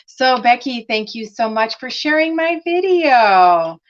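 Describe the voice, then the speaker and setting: Slow-talking woman, slightly raspy. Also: on the high side, big pitch swings. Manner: chatty, greets viewers by name, many fillers. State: recovering from laryngitis, slightly raspy at times. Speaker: craft demonstrator hosting a live stream. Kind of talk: casual live-stream chat with viewers. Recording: dull lo-fi live stream audio.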